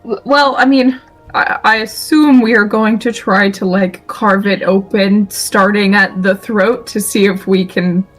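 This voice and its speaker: A woman's voice: medium pitch, steady tone, measured pace, rough recording. A woman talking casually and improvising as a player in a tabletop roleplaying game. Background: quiet music.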